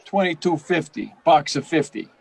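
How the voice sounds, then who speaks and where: A man's voice: on the high side, lively intonation, normal speed, vocal fry. A man playing a slangy, wary, bribable hotel house detective in an old-time radio play. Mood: sly, agreeable.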